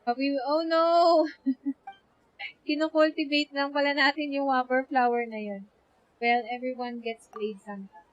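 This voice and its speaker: High-pitched Filipino woman streaming a game. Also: steady tone, measured pace, dull audio. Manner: chatty, switching between Tagalog and English, reacting aloud. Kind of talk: casual live gameplay commentary.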